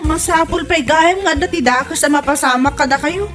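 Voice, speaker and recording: A woman's voice: high voice, normal speed, music in the background, lightly acted. A woman playing a dutiful, outspoken grown daughter in an audio comedy-drama, earnest and admonishing. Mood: earnest, scolding. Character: dutiful, outspoken grown daughter looking after her mother.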